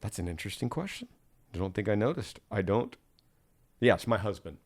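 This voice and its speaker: Guy hosting a podcast, lowish voice, easygoing, reads out listener letters. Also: brisk. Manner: relaxed, with soft filler sounds and restarts. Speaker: podcast host. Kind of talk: conversational podcast, reading listener questions.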